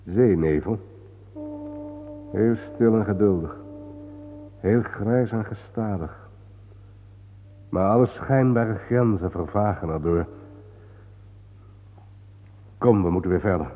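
An actor playing a grave, world-weary man guiding his friend through war cemeteries in a solemn, measured, sardonic radio-play manner. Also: fairly low pitch, a little hiss or hum, lightly acted. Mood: hushed, solemn. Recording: muffled phone-like old radio recording, reverberant.

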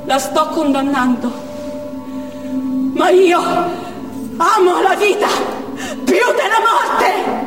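A woman's voice: very high-pitched, animated, drawn-out words, pauses often, full character voice. An actress playing a desperate, defiant female Chechen militant in a declamatory theatrical monologue. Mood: fierce, defiant. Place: theatre stage, picked up from afar. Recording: distant echoey theatre hall with music.

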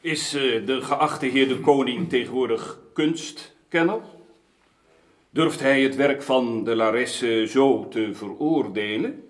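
An actor playing a sceptical, sarcastic gentleman councillor, formal and mocking, in a period radio drama. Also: mid-range voice, slow, natural voice.

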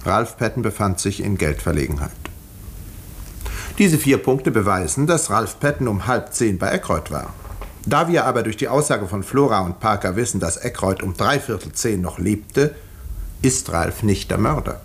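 An actor playing a composed, educated doctor in a 1950s German radio mystery, speaking in a measured, dry and precise way. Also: male voice, fairly low pitch, animated, slight background noise. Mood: thoughtful, reserved. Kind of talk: 1950s German radio drama narration and dialogue.

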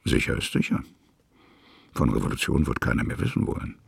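Very deep, gravelly male narrator. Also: no room sound, clean studio sound. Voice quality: gravelly, husky, breathy. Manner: musing, halting, hushed at times. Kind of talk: literary narration in a radio play.

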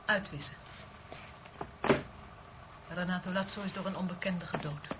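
A man's voice: sits high, steady tone, moderate pace, close to an everyday voice. A man in a radio play acting a cold, authoritative official in charge of secret tapes. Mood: cold, commanding. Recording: noisy, tinny old radio recording.

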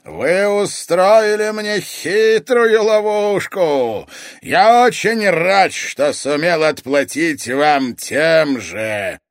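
A man voicing a terrible, vengeful oracle creature in a fantasy audiobook, booming with mad, gloating menace. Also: animated, dry recording, studio quality, full character voice. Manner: thunderous, drawn-out, menacing.

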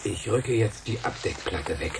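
A man's voice: on the low side, lively intonation, moderate pace, recorded from a distance, background noise, lightly acted. A man acting an alert, practical technician among escaping rebels in a German radio play. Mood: tense, watchful. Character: male fellow conspirator, alert, practical lookout and technician.

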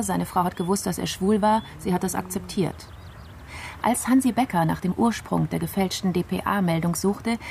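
An actress playing a methodical female investigator in a radio crime play, explaining a case in a measured, explanatory way. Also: medium pitch, creaky, a little mellow, a little hiss or hum, close to an everyday voice.

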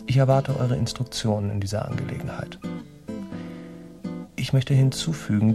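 A man acting a creaky-voiced army captain in a German radio drama, vengeful and scheming. He speaks with stiff formality and a menacing, self-important air, over clearly audible music. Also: mid-range voice, natural voice.

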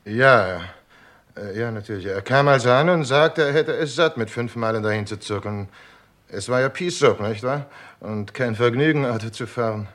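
A jittery garage man, played by a man, with a mid-pitched voice. He is flustered and hesitant, given to muttering, in the style of an old German radio crime drama. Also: normal speed, fairly bright tone, natural voice. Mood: nervous explaining. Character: flustered, nervous male petrol station man.

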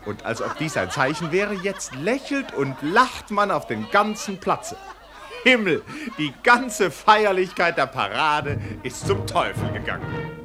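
A man's voice: on the high side, sing-song, unhurried, over music, a crowd in the background. A man playing a flustered eyewitness narrator in a radio play, theatrically exclaiming and repeating himself like a live commentator. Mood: amused. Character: flustered, excitable male eyewitness narrator at a parade.

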